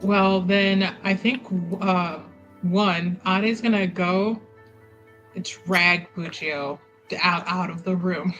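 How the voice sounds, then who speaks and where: Woman, player, slow and easy pace, chatty, faint music. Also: on the low side, fairly bright tone. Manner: chatty, laughs often. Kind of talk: casual tabletop play.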